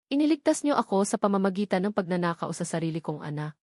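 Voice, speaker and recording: A woman's voice: lowish voice, sing-song, fast, a little mellow, dry recording, studio quality. A woman voicing a wounded, resolute grown son confronting his mother in a dramatic story, cold and accusing in an emphatic way. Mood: hurt, angry.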